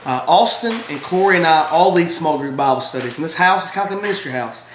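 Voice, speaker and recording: A man's voice: on the high side, animated, quick pace, echoey room, slight background noise. A man, talking eagerly in a casual video submission. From Alabama. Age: 20s. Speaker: college student, small group leader.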